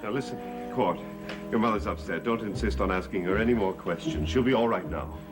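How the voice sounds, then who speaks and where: A brooding, protective gothic gentleman acted by a man with a low voice. He talks gravely and deliberately in an old TV melodrama, music swelling loudly behind him. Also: lightly acted. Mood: soothing, grave. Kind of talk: 1960s-70s television soap melodrama. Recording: old TV soundtrack under loud music.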